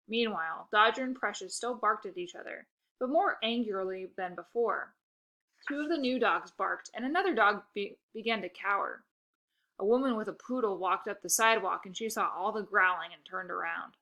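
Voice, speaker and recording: A woman reading a children's book aloud in an expressive narrating style. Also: mid-range voice, normal speed. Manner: expressive, occasional stumbles over words. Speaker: storybook reader.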